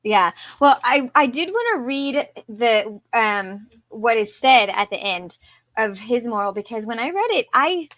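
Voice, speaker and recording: High-voiced woman, giggly podcast co-host. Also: animated, unhurried, a bit bright, no room sound. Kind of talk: casual podcast discussion.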